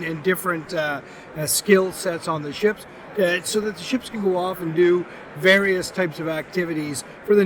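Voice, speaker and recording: A man hosting a podcast, speaking in a halting way with frequent fillers. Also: sits high, a bit bright, slight background noise. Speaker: podcast host. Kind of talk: casual podcast interview.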